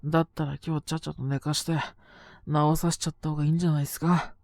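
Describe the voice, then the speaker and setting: A man's voice: on the high side, little pitch movement, fast, vocal fry, darkish tone, no room sound. A man playing a sick, sleepy young boyfriend in an audio drama, grumbling casually in a murmur, exasperated yet fond. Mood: exasperated, scolding. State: coughing, acting sick with a cold. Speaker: voice actor. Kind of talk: Japanese situation voice drama aimed at listeners.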